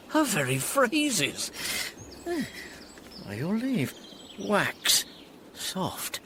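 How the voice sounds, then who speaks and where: A man's voice: high-pitched, huge pitch swings, drawn-out words, pauses often, bright, forceful tone, slight background noise, heavily acted. An actor playing a pompous, self-deluded steward in a Shakespearean radio comedy, savouring his grand fantasies theatrically. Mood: excited, conspiratorial.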